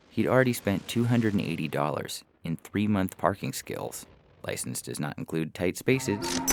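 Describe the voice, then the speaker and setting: A man's voice: low voice, gravelly. A man narrating scripted science fiction in a wry, dramatic storytelling manner. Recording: music bed behind the voice.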